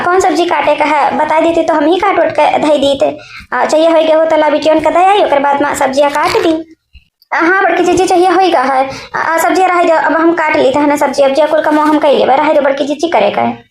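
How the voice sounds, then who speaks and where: High-pitched village sister-in-law, acted by a woman, roomy echo. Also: vocal fry. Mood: concerned. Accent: eastern Uttar Pradesh. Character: rural sisters-in-law, fussing affectionately over housework. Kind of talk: cartoon family comedy dialogue in dialect. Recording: roomy echo, noticeable background noise.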